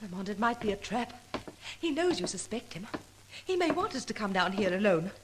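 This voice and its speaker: A woman's voice: mid-range voice, very animated, brisk, natural voice. An actress playing an anxious, loyal female companion to a sleuth in melodramatic 1940s radio acting. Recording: noisy vintage radio recording with clicks.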